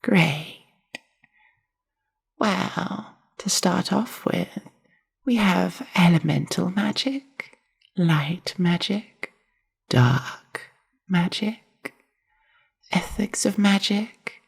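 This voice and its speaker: A woman voicing a reclusive, awkward spider-woman librarian in a whispery, husky ASMR roleplay, with a hesitant, dryly deadpan delivery. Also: on the low side, very slow, pauses often, full character voice. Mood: formal, explaining.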